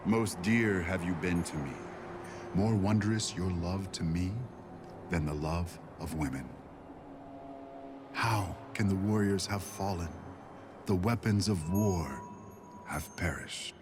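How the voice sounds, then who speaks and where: An actor playing a grave, stern warrior leader in a dramatised audio Bible, speaking solemnly and deliberately. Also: male voice, low voice, over music. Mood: mournful. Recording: clearly audible background noise and ambience.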